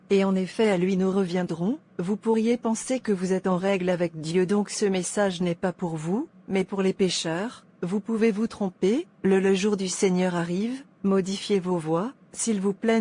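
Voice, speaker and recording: Soft-toned, mellow woman narrator. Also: medium pitch. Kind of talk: scripted moral storytelling narration.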